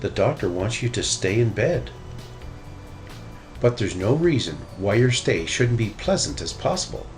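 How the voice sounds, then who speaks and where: A man voicing a caring, gently firm mother bear in a children's storybook. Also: lowish voice, very animated, a little slow, faint music behind.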